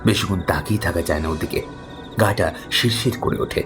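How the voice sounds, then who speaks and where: A man's voice: sits low, a little fast. A man narrating a scripted horror story in a grave, suspenseful manner. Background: background music.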